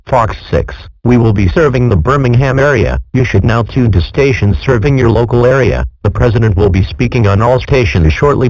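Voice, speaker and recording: A man reading a scripted emergency broadcast announcement in a formal, measured, impersonal manner. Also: mid-range voice, a little mellow, no room sound, poor audio.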